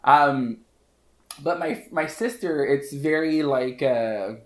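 A man's voice: mid-range voice, slow pace, roomy sound. Playful bilingual man, slight French Canadian accent. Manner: playful, laughs often, self-mocking. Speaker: podcast guest, hairstylist. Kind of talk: casual chat.

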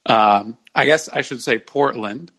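A man with an average-pitched voice joins a sports podcast as a guest. He talks casually but lays out his points in measured, countdown-style phrasing. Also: a bit bright.

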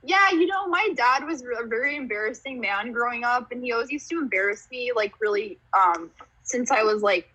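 A young woman, a comedian guest on a podcast, talks in a giggly, rambling way, full of "like" and laughter. Her voice sits a bit high and bright, with a husky, breathy edge. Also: expressive, moderate pace, muffled recording. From born in Romania, raised in Canada and the US. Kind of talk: casual podcast chat.

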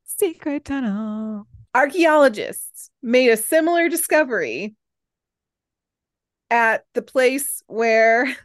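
A woman's voice: on the high side, lively intonation, slow, no room sound. Casual female podcast co-host, dry close sound. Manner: informal, stumbles over foreign names. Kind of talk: reading researched notes with casual banter.

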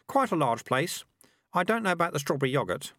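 A man's voice: on the high side, big pitch swings, a little fast, fairly bright tone, dry recording, clean studio sound, voice pushed up. A man voicing a simple, mild young orphan boy who answers plainly and guilelessly. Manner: plain, guileless, matter-of-fact.

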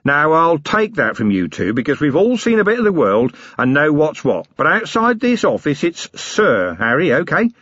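In an audiobook, a man voices a rough older tycoon who got rich on rubbish. The voice sits raised and loud, blunt and matey, with a husky edge. The sound is dry and a little dull. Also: on the high side, sing-song, normal speed, hardly any pauses, no echo, pitched above the performer's own voice. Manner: blunt, matey working-class bluster. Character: rough, ruthless older male scrap-and-muck tycoon. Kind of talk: audiobook character voice.

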